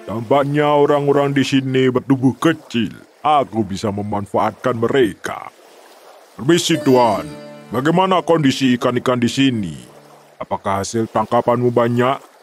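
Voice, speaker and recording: A man's voice: medium pitch, moderate pace, soft background music, natural voice. A man playing a big, sly, confident seafaring envoy in a children's story, speaking in a smug, formal manner. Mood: scheming.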